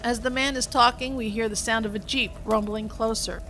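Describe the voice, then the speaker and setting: A woman's voice: a little high, steady tone, moderate pace. A woman reading a scripted story aloud as its narrator. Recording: faint background noise.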